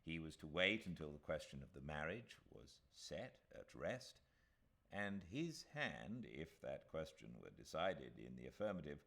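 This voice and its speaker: Very deep-voiced British male narrator. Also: big pitch swings, normal speed, creaky, sharp tone. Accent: British English. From Britain. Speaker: audiobook narrator. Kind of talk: literary audiobook reading of a classic novel.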